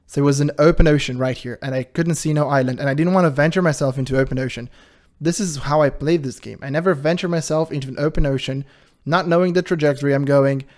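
A man with a high-pitched voice hosting his channel, giving casual commentary in an animated, defensive way and laughing often. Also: fast talker. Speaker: channel host reacting to a video.

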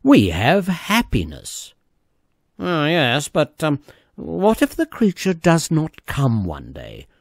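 A man voicing animated, excitable island villagers. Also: fairly high pitch, takes it slowly, creaky, no room sound, studio quality, exaggerated voice. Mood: pleased. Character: excitable, anxious island tribespeople.

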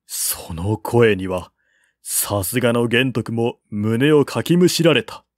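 A man's voice: mid-range voice, lively intonation, slow pace, no echo, studio quality. A man reading a historical novel aloud as scripted literary narration. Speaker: audiobook narrator.

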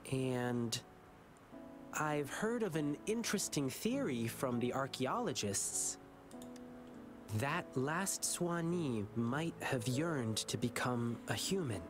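A man voicing an earnest, boyish young lion dancer in a video game, telling his story in measured, wistful dialogue. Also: fairly high pitch. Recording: game music underneath.

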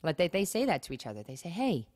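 A woman's voice: fairly low pitch, sing-song, fast, a little mellow, dry recording, clean studio sound. A woman co-hosting a casual podcast conversation, speaking emphatically and acting out imagined remarks. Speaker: podcast co-host. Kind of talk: casual conversational talk on marriage and parenting.

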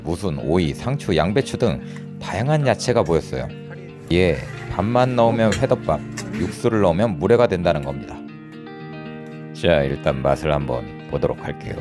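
A man hosting a food review vlog with scripted voice-over narration. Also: sits low. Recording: loud music behind the voice. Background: music.

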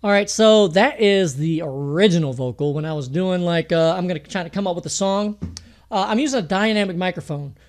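A man's voice: high voice, fairly quick. A man with a thin voice teaching mixing in a home studio, talking casually with fillers and restarts. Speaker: music producer teaching mixing. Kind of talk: screen-recorded tutorial talk.

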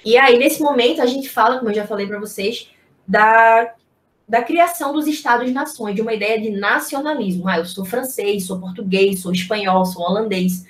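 A woman's voice: fairly high pitch, normal speed. A young Brazilian woman giving an informal, explanatory online history lecture, posing rhetorical questions as she teaches over a video call. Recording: echoey room on video-call microphone.

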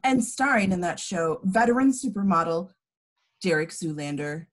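A woman's voice: fairly low pitch, expressive, moderate pace. A woman acting knowing informant and reporter parts in an amateur table read over a video call. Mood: announcing. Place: home, remote video call.

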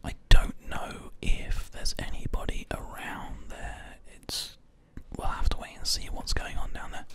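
A man whispers gently and without hurry into a close binaural microphone, commentating on gameplay as an ASMR host. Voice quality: unvoiced whisper. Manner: gentle, unhurried, measured. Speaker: host of an ASMR gaming show. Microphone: close binaural microphone, ear to ear.

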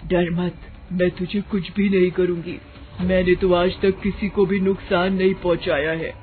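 A woman's voice: lowish voice, little pitch movement, a little slow, phone-quality sound, soft background music, lightly acted. A woman voicing a ghostly burnt witch in a cartoon horror story, menacing yet protective and sorrowful. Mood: eerie reassurance.

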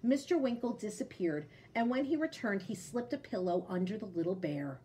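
A woman in her thirties reads to children from a picture book. Her pitch is average for a woman but moves in a very lively, expressive way. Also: moderate pace, slight background noise, poor audio. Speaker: storybook reader. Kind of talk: expressive children's read-aloud.

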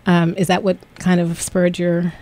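Female radio talk host, lower-pitched voice, chatty manner with frequent ums. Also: completely flat delivery, measured pace, a little mellow. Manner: chatty, many ums, reads stats aloud. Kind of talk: radio interview. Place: radio studio.

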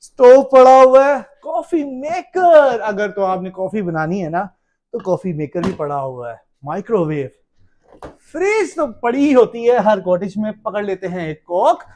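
A man in his thirties giving a casual vlog tour in an animated, exclamatory showman's manner. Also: sits high, measured pace, a little hiss or hum. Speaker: travel vlogger. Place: outdoors on a wooded road, then inside a cottage.

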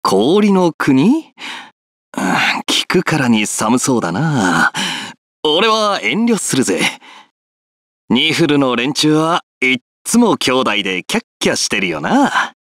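An actor playing a rough, brash but friendly young warrior lord in a video game, casual and confident. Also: male voice, mid-range voice, big pitch swings, normal speed, fairly bright tone, no echo, clean studio sound. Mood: cocky, casual. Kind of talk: Japanese video game character voice clips.

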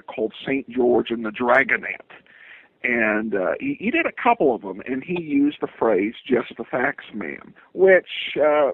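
A man being interviewed remotely, answering casually in a halting way with frequent uhs. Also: medium pitch, no room sound. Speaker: podcaster, interview guest. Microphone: remote call. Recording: tinny, dry phone-like line.

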